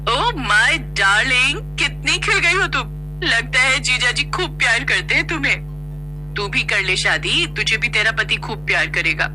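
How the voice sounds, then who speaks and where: A woman's voice: fairly high pitch, animated, measured pace, music in the background, rough recording. A woman voicing a cheerful young newlywed wife, chatty, affectionate and lightly chiding, in an audio story.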